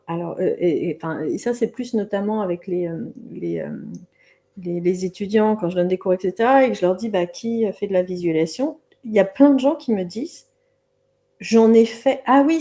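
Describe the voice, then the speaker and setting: A woman guest expert talks over a video call in an animated, casual way. She often restarts her sentences before finding the words. Her voice sits at a medium pitch. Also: moderate pace. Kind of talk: casual conversation.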